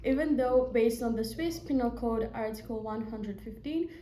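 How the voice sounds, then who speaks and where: A woman's voice: a little high, normal speed, mellow. Young Greek woman reading a law presentation. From Greece. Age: young adult. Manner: reads a prepared script with small slips. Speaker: law student. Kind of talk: read-aloud presentation. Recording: echoey room with faint noise.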